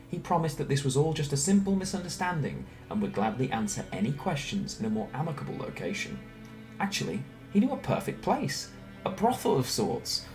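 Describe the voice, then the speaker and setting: Animated male storyteller over music. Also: lowish voice. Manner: theatrical, expressive delivery. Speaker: storyteller reading fan narration. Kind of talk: dramatic scripted storytelling. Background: background music.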